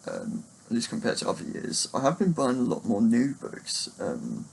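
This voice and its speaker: A man giving a casual, unscripted book chat to camera in a hesitant, halting, rambling way. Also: mid-range voice, huge pitch swings, gravelly, a little mellow, a little hiss or hum, low-quality recording. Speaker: book reviewer vlogger.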